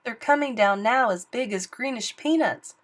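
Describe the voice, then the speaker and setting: A woman's voice: fairly high pitch, moderate pace, pitched above the performer's own voice, natural voice. A woman voicing an earnest young page boy who sounds worried and urgent, often calling out. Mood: doubtful.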